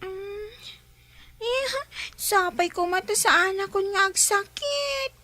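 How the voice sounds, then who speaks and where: A woman's voice: extremely high pitch, slow pace, pushed and bright, exaggerated voice. A woman voicing a timid, hesitant little child in a radio drama. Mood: timid, whiny. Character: timid little child patient afraid of injections. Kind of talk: Ilocano radio drama dialogue.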